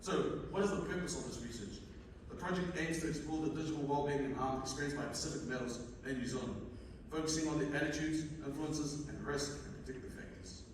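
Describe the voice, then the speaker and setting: A young man from Fiji with a Fijian accent gives a conference presentation as a student researcher, reading in a halting way with stumbles. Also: on the high side. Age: young adult. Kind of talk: conference presentation, partly read from notes. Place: indoor conference room at a university. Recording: echoey hall, distant mic, noisy room.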